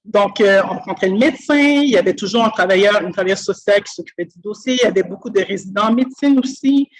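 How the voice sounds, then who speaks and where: A woman's voice: medium pitch, fast talker, poor audio. A woman from Quebec with a Québécois French accent, a school speech-language pathologist talking through her work thoughtfully and earnestly, with many fillers and restarts, on a video call from a school office. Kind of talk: conversational interview.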